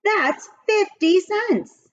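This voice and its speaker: A woman's voice: very high-pitched, animated, drawn-out words, dull audio, pitched above the performer's own voice, heavily acted. A woman reading a children's storybook aloud, voicing a stubborn, earnest young schoolgirl who protests emphatically.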